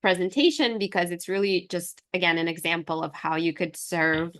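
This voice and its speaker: A woman's voice: on the low side, steady tone, normal speed, a bit bright. A woman from Ukraine giving an informal, enthusiastic online business presentation in a conversational way. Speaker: presenter pitching her herbal supplement line. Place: online video call with screen share.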